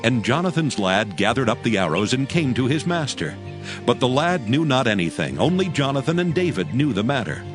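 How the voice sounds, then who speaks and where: A man's voice: medium pitch, big pitch swings, a little fast. A man giving a solemn, scripted dramatic narration of scripture in a storytelling manner. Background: music.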